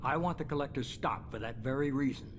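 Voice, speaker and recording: A man playing a composed, calculating mastermind with a measured, authoritative manner in a video game drama. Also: medium pitch, background noise, lightly acted. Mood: grave, commanding. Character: calculating, composed male mastermind of shadowy organization.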